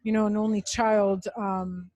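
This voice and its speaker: A young woman, Serbian her first language, who grew up in Dubai, tells her story as a podcast guest. Her voice is mid-pitched and sounds close and dry. She talks openly and chattily. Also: level tone, a little slow, a little mellow, dry recording, muffled recording. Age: young adult. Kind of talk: casual interview chat. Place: cafe.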